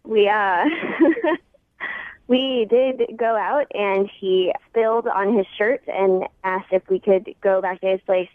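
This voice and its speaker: A woman's voice: medium pitch, takes it slowly, no echo. Woman on a thin phone line. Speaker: phone-in guest. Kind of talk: casual phone conversation. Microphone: telephone. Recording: thin narrow telephone line.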